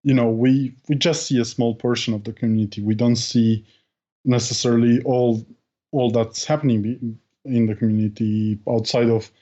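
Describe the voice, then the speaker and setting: Hesitant man, mellow dull-sounding voice. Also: mid-range voice, fairly even intonation, moderate pace. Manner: hesitant, many restarts and fillers. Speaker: podcast guest. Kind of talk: casual chat.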